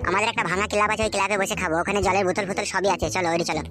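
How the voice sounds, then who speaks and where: Woman voicing a rapid-fire, brash young cartoon slacker. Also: medium pitch, steady tone, lightly acted. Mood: wheedling. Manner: rapid, slangy, bossy. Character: brash scheming young male slacker, foul-mouthed cartoon troublemaker. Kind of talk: comic cartoon dialogue. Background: music.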